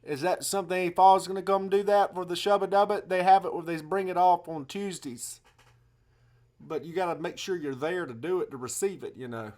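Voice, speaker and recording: A man's voice: high-pitched, fairly quick, a little mellow, lightly acted. A man with a Southern US accent playing a folksy, muddled hotel guest who rambles in double-talk on a prank phone call. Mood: insistent, muddled. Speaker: prank caller.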